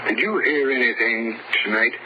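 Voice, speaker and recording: A man's voice: mid-range voice, moderate pace, noisy background, natural voice. A man playing an earnest, uneasy small-town sheriff, telling his tale in old-time radio horror style. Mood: steady, concerned. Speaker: actor narrating and playing the lead. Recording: muffled old radio recording, loud music behind.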